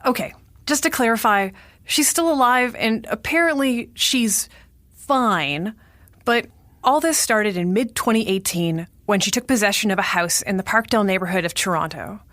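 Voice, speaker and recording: A woman's voice: mid-range voice, normal speed, fairly bright tone, dry recording, close to an everyday voice. An actress playing a wry, casual woman podcaster in a found-footage audio drama, speaking conversationally. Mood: uneasy, confiding. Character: young woman podcaster recounting a friend's unsettling disappearance.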